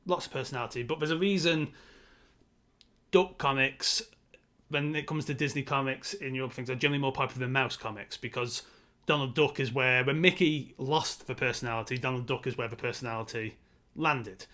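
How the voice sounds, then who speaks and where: A man's voice: mid-range voice, brisk, sharp tone. A man co-hosting a casual film discussion, speaking in an analytical, emphatic way and wandering off into side points. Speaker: podcast co-host.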